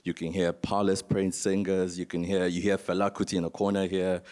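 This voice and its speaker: A man's voice: low-pitched, flat delivery, brisk, a little mellow. A man from Nigeria giving an informal panel talk as a museum founder, telling stories and asking rhetorical questions. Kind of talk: informal spoken presentation on a conference panel.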